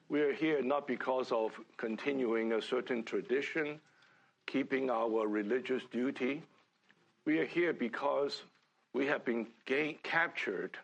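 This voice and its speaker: An older man from Hong Kong giving a halting sermon to a church gathering, full of fillers and restarts. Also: mid-range voice, creaky, slightly rough. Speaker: church speaker.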